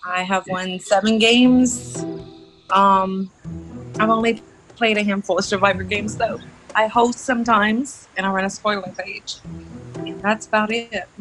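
A woman in her forties from Louisiana speaks very slowly and haltingly over a video call. Her pitch sits in the middle. Music plays clearly behind her. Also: fairly even intonation, a bit bright, low-quality recording. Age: 40s. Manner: unhurried, halting. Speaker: contestant. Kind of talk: casual self-introduction on a video call.

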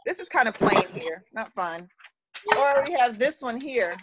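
Preschool teacher on a video call, woman, high and bright voice, praising and echoing kids, noisy call audio. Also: animated, unhurried. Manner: encouraging, repeats children's answers. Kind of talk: leading a class video call. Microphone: laptop on a video call.

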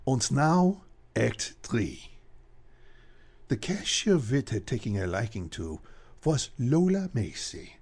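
A man narrating a radio horror drama in an ominous storytelling manner, with a gravelly, husky voice. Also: mid-range voice, very animated, slow, a little mellow, a little hiss or hum.

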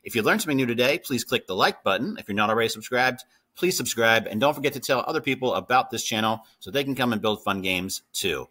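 A man's voice: mid-range voice, expressive, fast, sharp tone, slightly rough. A middle-aged man hosting a casual screen-share coding tutorial. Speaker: tutorial host.

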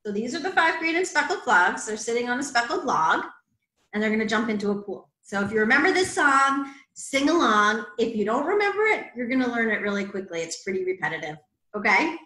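A woman's voice: fairly high pitch, big pitch swings, brisk, sharp tone, reverberant, poor audio. A woman in her forties hosting a children's storytime, talking simply and expressively to kids as she reads picture books. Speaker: library storytime host. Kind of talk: children's picture-book read-aloud and casual talk. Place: indoor room at home. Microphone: computer mic on video call, arm's length.

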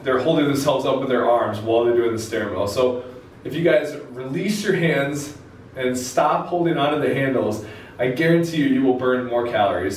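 A man, explaining things conversationally to the camera as a fitness video host. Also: mid-range voice. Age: 20s. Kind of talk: informal instructional talk to camera. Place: small indoor room with a whiteboard. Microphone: camera mic a few steps away. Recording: very echoey room, distant mic, faint noise.

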